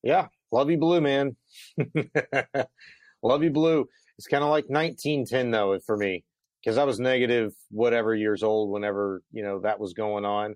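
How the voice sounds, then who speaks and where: A man's voice: mid-range voice, steady tone, unhurried, no echo. A man in his forties co-hosting a sports show, chatting in an emphatic, colloquial, ranting way. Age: 40s. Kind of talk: excited sports talk chat.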